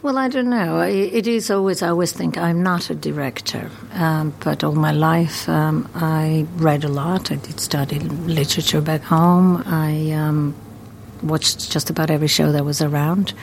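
A woman's voice: low-pitched, fairly even intonation, normal speed, creaky, darkish tone, slight background noise. A middle-aged woman from Croatia, a theater founder chatting hesitantly while giving a tour. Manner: chatty, hesitant with many ums. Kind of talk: guided tour interview.

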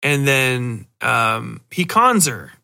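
A man's voice: medium pitch, very animated, extremely slow, creaky, sharp tone. A man hosting a podcast, giving a casual, rambling review in a sardonic, exasperated way. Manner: sardonic, exasperated, mockingly acts out lines. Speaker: podcast host.

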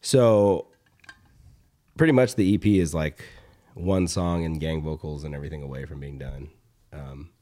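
A young man with a low, slightly hoarse voice talks casually as a podcast host. He rambles, pausing and starting again, and drops in swear words in a relaxed, conversational way. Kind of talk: casual chat.

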